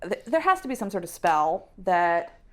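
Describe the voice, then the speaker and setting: Low-voiced woman thinking aloud over a game. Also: big pitch swings, normal speed, a bit bright. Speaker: tabletop game player. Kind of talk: casual game discussion.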